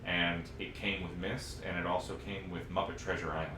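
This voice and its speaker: A man in his thirties chatting casually as a podcast co-host, rambling and halting as he goes. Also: low-pitched, level tone, far from the mic. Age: 30s. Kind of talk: casual chat about old games. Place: echoey room, live stream podcast recording. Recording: roomy echo, noticeable background hiss.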